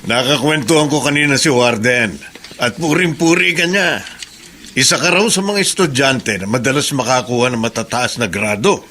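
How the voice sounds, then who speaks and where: A man's voice: medium pitch, normal speed, sharp tone, slight background noise, lightly acted. Man playing a kindly Filipino mentor. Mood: proud, advising. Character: kindly, wise male mentor to a young prisoner. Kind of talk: Filipino radio drama acting.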